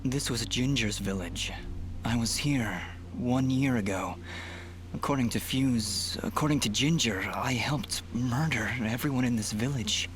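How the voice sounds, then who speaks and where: An actor playing a brooding young warrior in a video game, speaking in an earnest, hushed, troubled way. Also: male voice, medium pitch, unhurried, a little hiss or hum, close to an everyday voice. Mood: troubled, confused. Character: brooding young male anthropomorphic warrior with lost memory. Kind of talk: video game cutscene acting.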